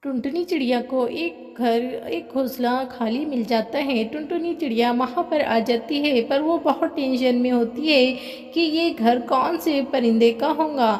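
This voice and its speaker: Female narrator, high voice, scripted children's storytelling, long hall reverb. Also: measured pace, darkish tone, faint music behind.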